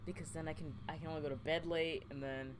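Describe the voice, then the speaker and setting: A woman's voice: low-pitched, steady tone, fast talker, a loud crowd, poor audio. A woman co-hosting a let's play, switching between casual, sweary banter and theatrical reading of game text. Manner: swearing, playful, theatrical when reading aloud.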